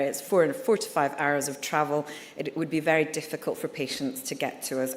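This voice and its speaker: Low-voiced Scottish woman in a hall. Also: slight background noise. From Scotland. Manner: candid, friendly, with frequent ums and uhs. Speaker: liver surgeon giving a conference talk. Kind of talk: conference presentation. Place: conference hall. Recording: reverberant hall.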